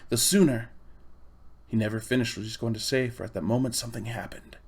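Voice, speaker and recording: A man reading a children's storybook aloud in expressive, measured phrases. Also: fairly low pitch, gravelly, slightly rough. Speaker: audiobook reader. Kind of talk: storybook narration.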